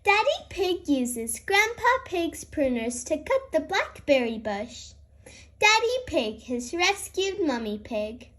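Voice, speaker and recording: A woman's voice: high-pitched, very animated, slow pace, fairly bright tone. A woman reading a children's picture book aloud as host, expressively and aimed at young listeners. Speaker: host and read-aloud storyteller.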